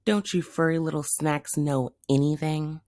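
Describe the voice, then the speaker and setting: A woman's voice: deep voice, fairly even intonation, measured pace, darkish tone, no room sound, studio quality. A woman voicing a mocking, menacing, easily bored male pet cat in a children's story. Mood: scornful. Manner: scornful, threatening.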